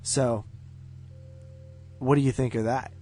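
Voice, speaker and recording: A man's voice: mid-range voice, fairly even intonation, takes it slowly, warm tone. Casual male podcast host over guitar music. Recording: music behind the voice.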